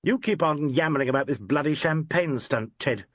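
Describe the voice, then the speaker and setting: A man's voice: a little high, normal speed, no room sound, muffled recording, pitched above the performer's own voice, lightly acted. A man voicing a needling, theatrical actor who coos and teases. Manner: teasing, cooing.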